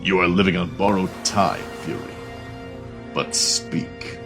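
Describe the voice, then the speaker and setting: A man's voice: deep voice, unhurried, creaky, over music. A man playing a proud, scornful undersea king in an audio drama, with a haughty, formal and threatening way of speaking. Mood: angry, menacing.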